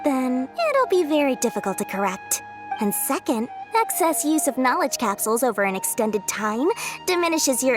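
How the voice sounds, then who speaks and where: Sly, greedy young merchant. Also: female voice, high-pitched. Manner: sly, bubbly, salesy lecturing with giggles. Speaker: game character. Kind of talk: video game voice acting.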